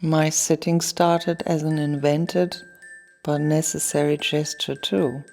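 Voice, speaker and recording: Pensive lady played by a woman, poetic radio drama, very even delivery, chiming mallet music behind. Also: deep voice, slow pace, vocal fry, full character voice. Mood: pensive, detached. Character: pensive sitting lady recalling her invented gesture. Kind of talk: poetic radio play monologue. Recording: long reverberant hall, mallet music behind.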